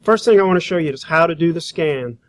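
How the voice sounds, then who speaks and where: A man giving an informal, hands-on software demonstration as an instructor, talking in a casual, rambling, folksy way. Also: on the high side, animated, fast. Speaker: graphic design instructor. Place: school computer lab.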